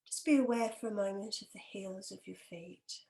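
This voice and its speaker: A woman leading a guided relaxation meditation as a hypnotherapist, calm, gentle and measured, with long pauses and a soft, sometimes breathy voice. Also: mid-range voice.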